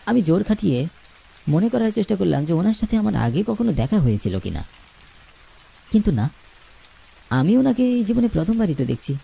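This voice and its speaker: A man's voice: high voice, very animated, brisk, vocal fry, pauses often, warm tone, slightly rough. A man narrating a scripted first-person ghost story in a dramatic, suspenseful storytelling manner.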